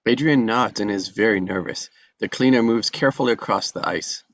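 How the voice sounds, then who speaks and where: A man reading the stage directions aloud at a script table read, plain and even. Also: fairly low pitch, a little fast, no echo.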